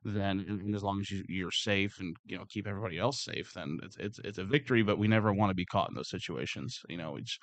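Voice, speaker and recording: A man with a slightly hoarse voice, speaking as a security supervisor in casual workplace talk, rambling and restarting his words. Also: deep voice, fast, few pauses, no echo. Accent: Midwestern American.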